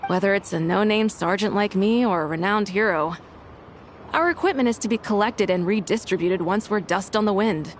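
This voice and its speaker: A woman's voice: medium pitch, normal speed, close to an everyday voice. Casting: pragmatic female sergeant played by an actress, mid-range voice, blunt and resigned, faint background noise. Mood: matter-of-fact. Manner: matter-of-fact, resigned. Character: plain-spoken, pragmatic low-ranking army sergeant woman. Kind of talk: anime-style game voice acting.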